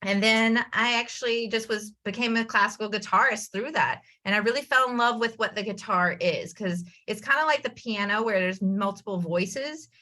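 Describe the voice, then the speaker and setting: Woman, from North Carolina, podcast guest, bright voice, chatty. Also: medium pitch, normal speed, few pauses. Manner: chatty, animated storytelling. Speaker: podcast guest, entrepreneur and musician. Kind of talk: casual interview talk.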